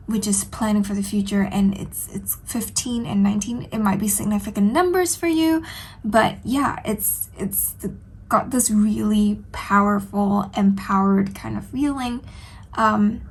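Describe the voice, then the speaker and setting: A woman sits with her cards and talks through a reading in an unhurried, earnest way. Her voice is a touch nasal and stuffy from a cold, set at an ordinary middle pitch. She fills the pauses with soft ums and keeps coming back to how she feels about the cards. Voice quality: slightly nasal. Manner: gentle, earnest, with frequent ums and "I feel like". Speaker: tarot reader. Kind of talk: solo tarot reading to camera.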